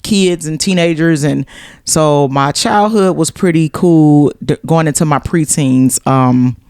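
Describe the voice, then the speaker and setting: Middle-aged American woman, interview guest, smooth resonant voice, casual storytelling, dry recording. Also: low voice, unhurried, a little mellow, no room sound. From Cleveland, USA.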